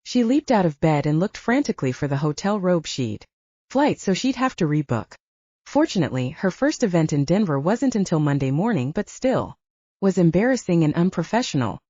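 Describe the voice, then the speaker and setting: A woman audiobook narrator reading scripted fiction in an expressive storytelling manner. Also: on the low side, moderate pace, no echo, highs cut off.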